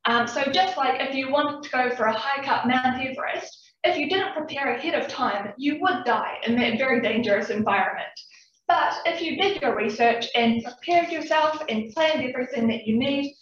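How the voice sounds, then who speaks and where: High-voiced New Zealand woman in echoey hall. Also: normal speed, a bit bright, a little hiss or hum. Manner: friendly, chatty, addresses audience directly. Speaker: PhD student giving a public science talk. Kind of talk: lively public science talk. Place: large echoey lecture room. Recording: echoey, distant, dull room recording.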